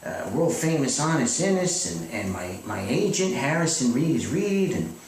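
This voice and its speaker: A man's voice: fairly high pitch, sing-song, measured pace, a little mellow, heavy reverb, distant mic, a little hiss or hum, rough recording. A man playing a deluded, optimistic, self-styled famous author who rambles and stammers fretfully in a comedy skit, on old audio taken from video. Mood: worried. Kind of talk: amateur comedy skit monologue.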